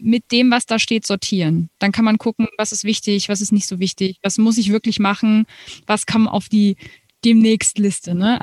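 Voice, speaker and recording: A woman's voice: medium pitch, dry recording. A woman in her twenties, a musician being interviewed, talking casually with frequent laughs and fillers. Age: 20s. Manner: animated, laughs often, many fillers. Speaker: musician guest.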